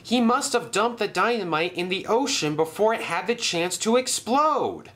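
A man voicing an eager young male tank engine who talks in excitable, emphatic bursts. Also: on the high side, a little slow, a little hiss or hum, voice pushed up. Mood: excited.

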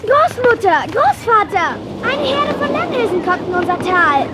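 A woman's voice: very high-pitched, sing-song, measured pace, heavy background noise, heavily acted. A woman voicing a curious, innocent little boy dinosaur in a children's cartoon audio drama.

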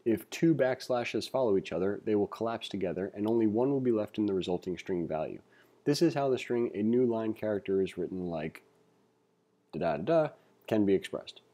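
A man giving a read-along tutorial as an instructor, reading aloud and adding informal asides as he thinks out loud. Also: on the low side, expressive, a little fast. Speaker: programming instructor. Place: indoors, screen-recording voice-over.

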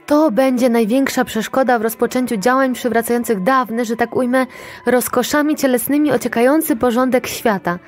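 An actress as a resolute, higher-voiced older woman. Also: fairly even intonation, fairly quick, natural voice. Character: worldly older woman manager, resolute and eloquent. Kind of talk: audio drama acting.

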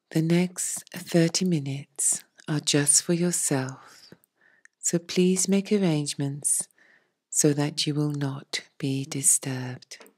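A woman leading a guided meditation as a teacher, in a soft, breathy, hushed and soothing voice with long pauses. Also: deep voice. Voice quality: soft, breathy, near-whispered. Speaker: yoga nidra meditation teacher.

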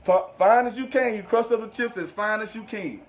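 A man's voice: sits high, brisk. A man giving a casual cooking demonstration as a host, informal and slangy, often repeating his instructions. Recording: echoey room with background noise.

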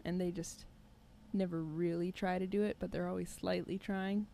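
A woman's voice: on the low side, level tone, normal speed, creaky, warm tone. A young woman co-hosting a casual chat, mostly with brief, agreeing replies. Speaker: podcast co-host and musician. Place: small curtained stage studio indoors. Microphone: microphone on stand. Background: faint acoustic guitar.

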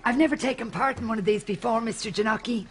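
An actress playing a nervous, worried grown daughter in a television sitcom. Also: female voice, fairly high pitch, fast, vocal fry. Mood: anxious. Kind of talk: sitcom acting. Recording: studio audience and noise.

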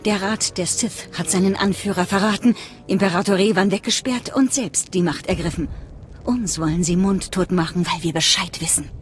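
Low-voiced, secretive woman cult leader played by an actress. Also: fairly even intonation, a little hiss or hum, natural voice. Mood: secretive, earnest. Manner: measured, earnest, conspiratorial. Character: secretive, fanatical female leader of a Sith cult. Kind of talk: dramatic game voice acting.

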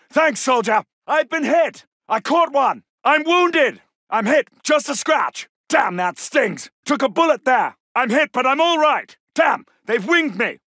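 An actor playing a British Second World War soldier in a video game, barking urgent, clipped battle calls. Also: male voice, very high voice, no room sound, clean studio sound, full character voice. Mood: relieved gratitude, then strained, pressed. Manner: urgent, forceful, clipped military delivery.

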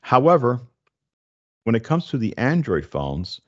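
A man in his forties hosting a casual tech explainer, speaking in a halting, stop-and-start way into a close desk microphone. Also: mid-range voice, big pitch swings, highs cut off. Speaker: tech show host. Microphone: desk condenser microphone, close, with headset.

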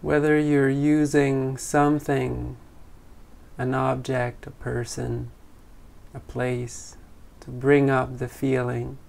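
Guy leading a guided meditation, gentle and unhurried, lots of fry in the voice, pretty flat tone. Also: mid-range voice. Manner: gentle, unhurried, with long pauses. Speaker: meditation teacher.

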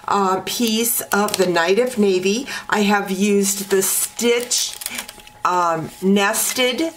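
A woman teaching card making step by step at her craft table, talking in a relaxed way with many ums and uhs. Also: medium pitch, slight background noise. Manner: slow, relaxed talk with frequent ums and uhs.

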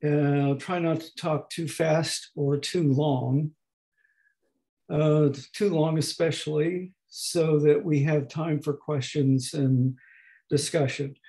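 A man in his eighties lecturing slowly over a video call, with a hoarse, gravelly voice that is not fully distinct and that often pauses and restarts. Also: on the high side, steady tone, dark tone. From United States. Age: 80s. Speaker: professor emeritus giving a talk. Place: home room at a desk. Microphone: webcam on a video call.

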